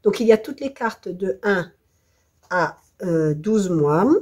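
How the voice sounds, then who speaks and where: A woman with a French accent walks through a craft project, her voice hoarse and worn from the flu. Her tone is mellow and soft, and she talks in a hesitant, apologetic way. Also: fairly low pitch, lively intonation, slow. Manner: hesitant, apologetic, self-deprecating. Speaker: scrapbooking video host. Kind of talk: crafting tutorial presentation.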